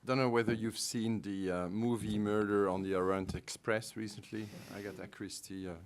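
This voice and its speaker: A middle-aged man with a French-speaking Belgian accent, a trade negotiator giving halting panel remarks full of ums and restarts. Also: lowish voice, steady tone, slight background noise.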